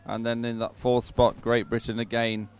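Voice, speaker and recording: A man presenting live sports commentary, pausing as he goes and adding upbeat, sentimental asides. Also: mid-range voice, completely flat delivery, smooth, soft background music. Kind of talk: live commentary on a dragon boat regatta.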